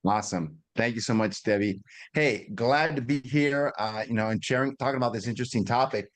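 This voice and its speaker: A man's voice: medium pitch, brisk. A middle-aged man giving an informal webinar presentation on a video call, explaining in a conversational teaching manner, repeating words and checking in with listeners. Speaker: guest presenter at a business webinar.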